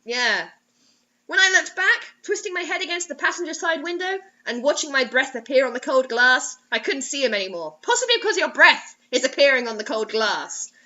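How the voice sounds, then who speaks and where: A woman's voice: high voice, very animated, brisk, bright, forceful tone, dull audio. A woman reading fan fiction aloud for comedy, breaking off into sarcastic, mock-dramatic asides.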